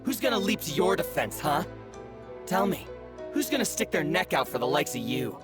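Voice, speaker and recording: A man's voice: on the high side, very animated, normal speed, music in the background. A man playing a mocking, contemptuous bandit in a video game. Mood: mocking contempt. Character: sneering, taunting male bandit, younger than his victim. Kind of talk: video game villain acting.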